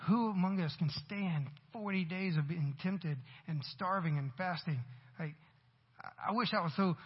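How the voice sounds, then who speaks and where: Husky high-voiced preacher in his forties. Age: 40s. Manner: conversational preaching with rising emphatic bursts. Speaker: pastor. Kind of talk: sermon. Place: church sanctuary. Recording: dull sound with long hall reverb.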